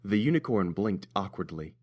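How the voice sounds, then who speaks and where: Low-voiced man narrating a story. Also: very animated, moderate pace, darkish tone. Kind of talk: scripted fan-fiction story narration.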